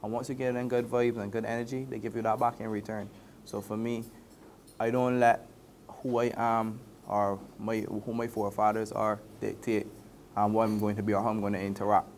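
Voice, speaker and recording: A Barbadian man speaks in a conversational interview style. His pitch is on the low side, and faint ambient noise sits behind him. He comes across as someone talking plainly about himself and his country. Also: flat delivery, moderate pace, a little mellow.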